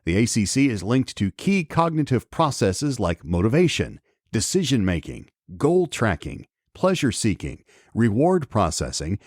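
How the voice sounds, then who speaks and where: A middle-aged man hosting a solo podcast, slightly hoarse, chatting conversationally with many ums and restarts as he reads news aloud. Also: fairly low pitch, darkish tone, dry recording, clean studio sound. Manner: conversational, repeats words and restarts, frequent ums. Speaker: podcast host.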